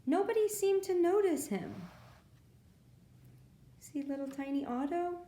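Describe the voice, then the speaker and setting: A woman's voice: very high-pitched, lively intonation, unhurried, dark tone, roomy sound, a little hiss or hum. A woman reading picture books aloud to preschool children as a storyteller, expressively and with little asides to her young listeners. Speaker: children's librarian reading stories. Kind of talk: read-aloud picture book narration for preschoolers.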